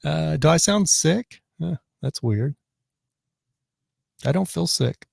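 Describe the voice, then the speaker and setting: Chatty raspy man streaming live. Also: on the high side, lively intonation, slow pace, darkish tone, no echo, clean studio sound. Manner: rambling and chatty, many restarts. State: raspy from choking on food. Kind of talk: casual live stream chat reading comments. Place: his office.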